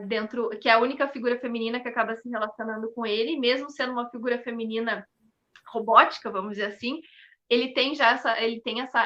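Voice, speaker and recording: Bright, high-voiced woman in reflective interview. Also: highs cut off. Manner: halting, thinking aloud, frequent checking tags. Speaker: theatre company member, interview guest.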